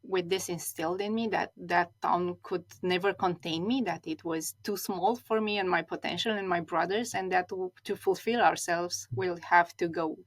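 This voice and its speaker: Soft-pitched Romanian-born woman stylist. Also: steady tone, moderate pace, hardly any pauses. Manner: thoughtful, with frequent restarts and repeated words. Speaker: guest, stylist. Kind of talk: reflective interview talk.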